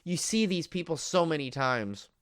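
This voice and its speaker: A man's voice: a little high, animated, moderate pace, fairly bright tone, dry recording, studio quality. Rambling, enthusiastic man chatting about film. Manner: rambling, repeats himself, piles up "like" and "you know". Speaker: podcast co-host. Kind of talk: casual film review chat.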